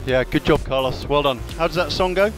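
A man's voice: a little high, a little fast, rough recording. A man talking casually as a race engineer over team radio. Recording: team radio, loud music and engines behind.

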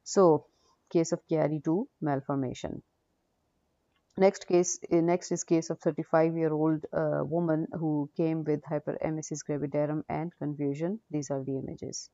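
A woman with a low, mellow voice teaches as a radiology lecturer, talking through cases without a script. She explains hesitantly and repeats herself. The recording is dry and muffled. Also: level tone, normal speed, dry recording. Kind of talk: unscripted case-review lecture. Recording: dull, dry lo-fi audio.

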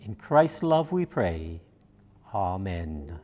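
A man in his seventies reading liturgy and prayers as a minister in a measured, formal, reverent manner. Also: sits low, very animated, a little mellow. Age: 70s. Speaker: minister leading worship. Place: church sanctuary, indoors.

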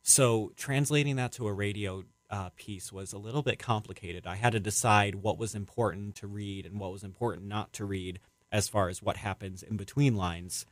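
Young man, lower pitch, dry close recording, halting reflective interview answers. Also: steady tone, no echo. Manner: hesitant, rambling, reflective. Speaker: playwright being interviewed.

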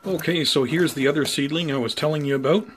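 A man's voice: on the high side, fast, a bit bright. Casual man narrating over background noise. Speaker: plant hobbyist vlogger. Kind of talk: casual narrated show-and-tell. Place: indoors at home by plant shelves. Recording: clear background noise, room ambience.

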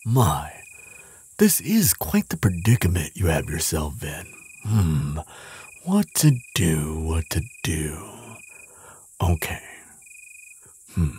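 A man playing a gentle, bookish giant in a roleplay audio drama, speaking to the listener in a tender, reassuring, politely hesitant way, with a husky, breathy voice. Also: deep voice, huge pitch swings, slow, pauses often, heavily acted. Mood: concerned, pondering. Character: gentle, bookish male giant, kindly and protective.

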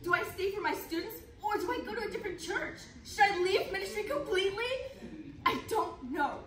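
A young woman acts out an earnest youth pastor recounting her story on stage. Her voice is high and projected, with emphatic theatrical delivery. It is caught from far off in an echoey room with audience sounds. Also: fairly quick, a bit bright. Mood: anguished. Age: young adult. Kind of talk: readers theater performance, storytelling narration. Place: large conference room, judges' table in front. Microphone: distant camera.

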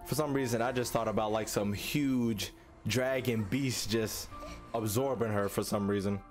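A man's voice: on the low side, fairly even intonation, moderate pace. Young man reacting casually over music. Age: young adult. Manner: slangy, repeats phrases in bursts. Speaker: reaction video host. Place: small room indoors at a streaming setup. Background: music from the trailers he watches.

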